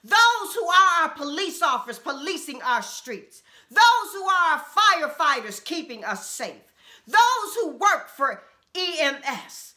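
Middle-aged woman pastor preaching, lively swooping pitch, bright sharp tone, drawn out refrains. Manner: impassioned preaching with repeated refrains, drawn-out emphasis. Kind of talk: sermon. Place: small room at home.

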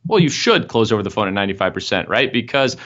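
A man's voice: lowish voice, sing-song, fast talker, a bit bright. A young man, a marketing consultant, explaining his firm's process in an interview over a video call from an office, with frequent fillers. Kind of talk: interview answers. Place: office room. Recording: dull video-call audio.

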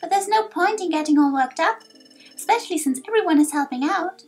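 Woman as a high-pitched, earnest little fox creature. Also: animated, fairly quick, darkish tone, soft background music. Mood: earnest, wistful. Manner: pleading, eager.

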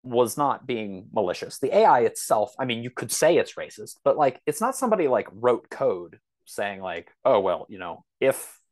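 A man's voice: mid-range voice, big pitch swings, moderate pace, hardly any pauses, a little mellow, no room sound. A man talking casually as a podcast guest, explaining things through examples and rambling asides. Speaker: podcast guest, science fiction author.

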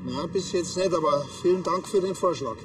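An actor playing a grumpy, dry-witted small-town police officer with a Bavarian accent. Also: male voice, on the high side, brisk, reverberant, long reverb tail, noisy background, close to an everyday voice. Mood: irritated.

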